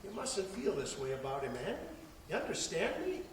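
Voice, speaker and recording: Actor as older father, very high projected voice, folksy, emphatic, pleading, echoey distant stage recording. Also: big pitch swings. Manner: emphatic, halting, folksy speech. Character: blustering, pleading, jovial older father, defensive businessman. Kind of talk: projected stage play acting. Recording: echoey stage hall, distant camera, noisy.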